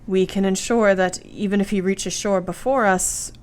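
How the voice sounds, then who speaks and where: An actress playing a brave, devoted young wife in a radio play, speaking solemnly and formally. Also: female voice, mid-range voice, steady tone, moderate pace, darkish tone, natural voice. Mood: determined.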